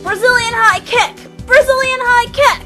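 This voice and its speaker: A woman voicing an excitable little boy in an anime-style dub, shouting play-fight moves exuberantly. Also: extremely high pitch, a little slow, music in the background. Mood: excited, playful.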